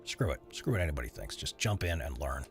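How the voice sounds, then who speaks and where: A man with a very low voice talks casually on a live stream while he works, and his pitch swings widely from phrase to phrase. He rambles and answers chat as it comes in. Faint music sits under him. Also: big pitch swings, fast talker. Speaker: streamer editing voiceover audio.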